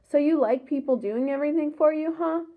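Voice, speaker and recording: A woman's voice: high-pitched, brisk, voice pushed up. A woman reading the part of a defiant freed male slave who leads a rebellion in a storybook, emphatic and earnest. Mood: scornful. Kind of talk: storybook read-aloud dialogue. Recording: thin, phone-like sound.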